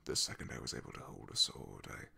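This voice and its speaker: A man playing a loyal, shy, soft-spoken knight in an ASMR fantasy roleplay, in a hushed, breathy, gravelly voice with a hesitant, halting manner. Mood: awkward, hesitant.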